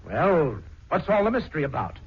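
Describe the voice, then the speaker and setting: A man's voice: on the high side, sing-song, normal speed, slightly rough, heavily acted. An actor playing an indignant, suspicious, bullying family man in a 1940s radio drama, accusatory and blustering. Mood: accusing. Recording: dull 1940s radio recording.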